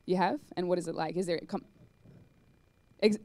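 A woman's voice: on the low side, fairly quick. A young woman on stage with a handheld mic, giving a chatty conference talk in a cinema hall and answering questions. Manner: chatty, animated, lots of "like" and "um". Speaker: conference speaker. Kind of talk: live talk and Q&A.

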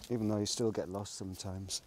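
A man's voice: lowish voice, fairly even intonation, a little fast. A middle-aged man chatting casually while cycling forest trails, rambling with self-talking asides, recorded outdoors on a small camera mounted on his bike frame. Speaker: cycling vlogger. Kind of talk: casual narration while riding. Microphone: small pocket camera mounted on the bike frame. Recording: noisy outdoor camera audio.

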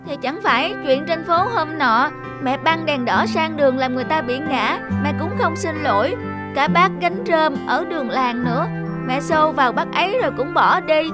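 A woman plays an aggrieved little cartoon boy. The voice is very high and plaintive as he argues, with clear music behind. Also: normal speed, lightly acted. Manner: plaintive, argumentative. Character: small stubborn, aggrieved schoolboy. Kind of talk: cartoon dubbing.

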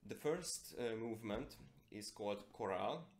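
A young man from Hungary with a Hungarian accent, a guitarist and composer introducing a concert in hesitant, halting phrases. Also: on the low side, animated, slight background noise. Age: young adult. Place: plain indoor room. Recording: echoey small room.

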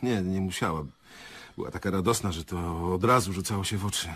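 Radio drama: dazed, evasive director, low and very husky, hesitant delivery. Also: male voice, a bit bright. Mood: guarded, subdued. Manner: hesitant, halting. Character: shaken, evasive male theatre director, halting and dazed. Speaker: actor in a radio play.

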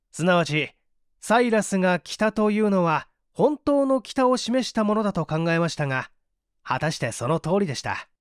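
An assured male detective scientist read by a man for a mystery audiobook. He lays out deductions patiently and articulately, like a teacher. The voice is highish and very animated, recorded dry. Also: no echo. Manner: didactic, articulate. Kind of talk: dramatised audiobook reading.

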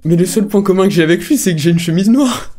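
A man's voice: high voice, expressive, quick pace. A young man hosting a casual video chat with strangers, joking in a cheeky, slangy way. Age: young adult. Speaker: online video host. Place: small bedroom, indoors. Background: music.

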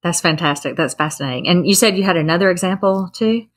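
A woman with a distinctly low-pitched voice hosts an interview. She speaks conversationally, affirming what she hears with enthusiasm. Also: quick pace. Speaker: podcast host.

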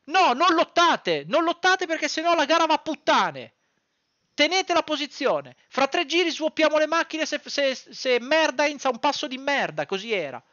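A man's voice: extremely high pitch, sing-song, moderate pace, pushed and bright, no room sound, highs cut off. A man delivers heated, exasperated, foul-mouthed commentary to camera, hammering home points by repeating phrases. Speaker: sports content creator. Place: small dim room at home.

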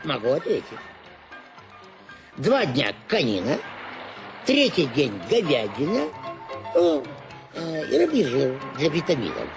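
A boastful male tiger tamer in a radio drama. He lectures pompously and slowly, with lively pitch swings and halting improvisation. Music is clearly heard behind him. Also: heavily acted. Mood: boastful, bluffing. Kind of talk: Russian radio play acting.

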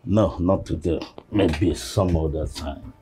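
An older man playing a stern, fatherly chief who admonishes in a measured way, in a film drama. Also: sits low, mellow, slight background noise. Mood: cool, disappointed. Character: stern, paternal older family elder, a respected chief.

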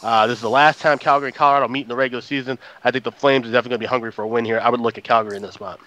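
Brisk thirty-year-old man breaking down odds. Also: medium pitch, fairly even intonation, pushed and bright, no room sound, poor audio. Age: 30s. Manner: brisk, analytical. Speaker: guest handicapper. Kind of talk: casual sports betting chat. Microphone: headset.

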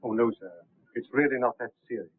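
An actor playing a courteous, deferential servant in a 1930s film. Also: male voice, medium pitch, quick pace, husky, dry recording, natural voice. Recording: tinny distant 1930s film soundtrack.

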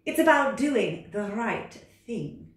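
A woman's voice: a little high, expressive, slow, pitched above the performer's own voice. A woman voicing a bossy, impatient know-it-all storybook cat, making emphatic singsong rhyming pronouncements. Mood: insistent. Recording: roomy, distant microphone.

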